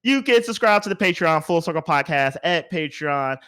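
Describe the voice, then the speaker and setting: A male podcast host with a voice a little higher than average. He chats casually in a playful, slangy style with a teasing edge. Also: expressive, normal speed, a bit bright, clear, resonant tone. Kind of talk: casual chat.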